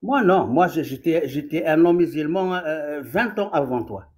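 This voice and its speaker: A man's voice: fairly high pitch, animated, moderate pace, a little mellow. A man in a heated live-stream debate who insists, repeats himself and stumbles over his words. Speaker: debate caller.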